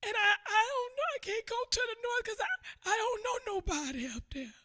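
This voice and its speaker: A woman playing a grieving, pregnant widowed mother in the rural South, slow and pleading, in a radio theater play. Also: extremely high pitch, sing-song, sharp tone, exaggerated voice. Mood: desperate pleading.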